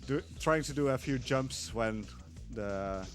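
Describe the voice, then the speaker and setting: A man's voice: mid-range voice, slow pace, faint music behind. A man with a Dutch accent giving casual live commentary on his speedrun, explaining as he plays.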